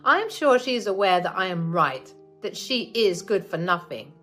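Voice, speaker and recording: Woman reading a spiteful, entitled mother-in-law, pitched up, scornful, lively. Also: moderate pace, soft background music.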